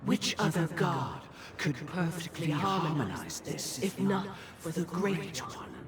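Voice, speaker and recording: A man's voice: a little high, big pitch swings, slow, crowd noise, heavily acted. An actor playing a composed, devout man of a powerful order in a video game, formal and reverent with veiled menace. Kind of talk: scripted video game voice acting. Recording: distant voice under loud music.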